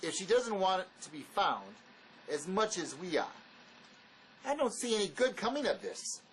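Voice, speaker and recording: An actor playing a wary, blunt escaped convict in a drama, speaking in an argumentative, insistent manner. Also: male voice, high voice, sing-song, normal speed, a bit bright, a little hiss or hum, low-quality recording. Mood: cautious, insistent. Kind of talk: dramatic dialogue reading.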